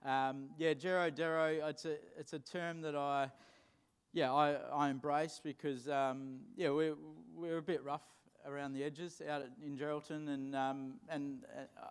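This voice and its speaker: A man's voice: a little high, little pitch movement, a little slow, smooth. A Western Australian man in his forties, a guest speaker answering interview questions on stage in a plain-spoken, unpolished way, often repeating words. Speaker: guest speaker, mining executive. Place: function hall at a dinner event.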